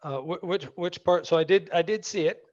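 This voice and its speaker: A man's voice: high-pitched, a little fast, a little mellow, dry recording, lo-fi sound. A man, answering audience questions in a conversational way full of restarts. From Maine, US. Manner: conversational, many restarts and fillers. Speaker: guest speaker.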